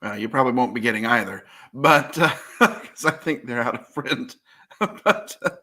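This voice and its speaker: American man, medium pitch, bright crisp-edged tone, wry, casual co-host. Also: lively intonation, moderate pace. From United States. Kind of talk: casual board game discussion.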